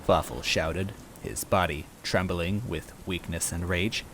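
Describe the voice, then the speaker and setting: A man reading a scripted comic story as narrator, with wry, deadpan asides. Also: low voice, measured pace, low-quality recording. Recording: rain noise and game music behind.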